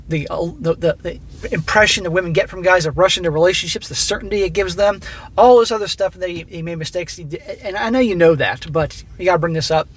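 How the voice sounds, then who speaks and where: A middle-aged host with a high-pitched voice reads a listener's story and breaks in with blunt, profane advice. His voice is going hoarse. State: losing his voice. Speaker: host reading listener stories. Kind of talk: reading a submitted story aloud with commentary.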